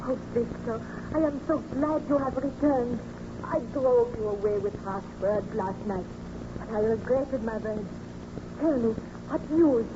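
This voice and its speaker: An actress playing a baron's anxious, devoted wife, fretful and earnest in theatrical old-time radio style. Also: female voice, a little high, a little slow, lightly acted. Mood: relieved, worried. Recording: noisy, muffled old radio recording.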